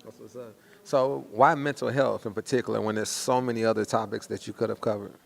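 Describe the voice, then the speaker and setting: A man's voice: on the low side, fairly even intonation, fairly quick, creaky. A man hosting a casual promotional interview, talking in an informal, slangy, hyped-up way. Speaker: host and interviewer.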